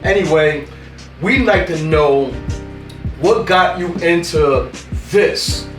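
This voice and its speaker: A man hosts a casual interview, his speech loose and full of slang and shout-outs. His voice sits fairly high and sounds roomy and distant, as if set back from the microphone. A beat plays softly underneath. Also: slow, faint music behind. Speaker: host.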